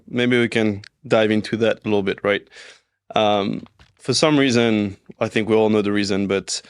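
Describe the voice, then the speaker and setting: A man's voice: lowish voice, vocal fry, clean tone, dry recording, clean studio sound. A man hosting a payments podcast, asking long conversational questions full of "right?" and "you know". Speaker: podcast host. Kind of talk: casual podcast interview.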